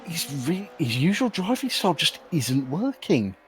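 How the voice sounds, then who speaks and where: A man giving casual, analytical live commentary on a sim race, explaining things in a measured way. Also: fairly high pitch, big pitch swings, a bit bright. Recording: faint noise behind voice.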